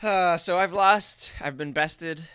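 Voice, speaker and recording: Comedy podcast panelist, male, smooth and forceful, self-mocking casual banter, dry studio-tight sound. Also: a little high, slow pace, dry recording. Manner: joking, self-deprecating.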